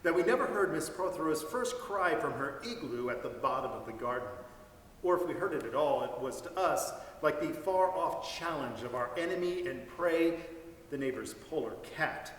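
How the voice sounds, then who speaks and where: Guy doing an expressive story reading, kinda high voice, big echoey room, a bit far off. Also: moderate pace, slight background noise. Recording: echoey hall, mic at a distance.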